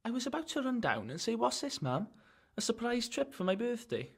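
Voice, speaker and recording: A man's voice: very high voice, sing-song, a little fast, creaky, breathy edge, full character voice. An actor playing a cheeky, chatty young man with a Welsh accent in a radio drama. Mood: amused. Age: young adult.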